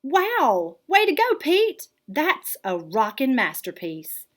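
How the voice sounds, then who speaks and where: A woman's voice: high-pitched, huge pitch swings, slow pace, voice pushed up, heavily acted. A woman voicing supportive, cheerful animal friends in a picture book, encouraging and emphatic. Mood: impressed.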